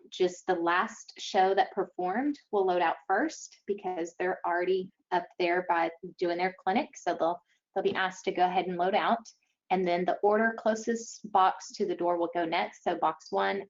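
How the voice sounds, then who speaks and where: A woman giving an explanatory webinar briefing as an event organizer, speaking haltingly with frequent pauses. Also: mid-range voice. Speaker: event organizer presenting to schools. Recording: dull, lo-fi online webinar audio.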